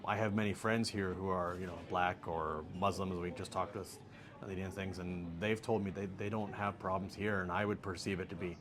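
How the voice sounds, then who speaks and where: A middle-aged American man giving relaxed, joking answers as an interview guest. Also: sits low. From United States. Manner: relaxed, joking, laughs easily. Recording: faint background noise.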